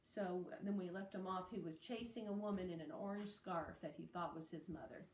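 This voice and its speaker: A woman reading a children's novel aloud as narration, in a deliberate, phrase-by-phrase way. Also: fairly low pitch, a little mellow, a little hiss or hum. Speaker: audiobook reader. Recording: roomy, echoey, distant microphone.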